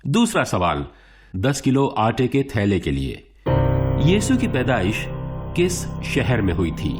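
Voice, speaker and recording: A man playing a suave quiz show host in a radio play, formal and theatrical, with dramatic pauses. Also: medium pitch, huge pitch swings, music in the background. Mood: showy, polite.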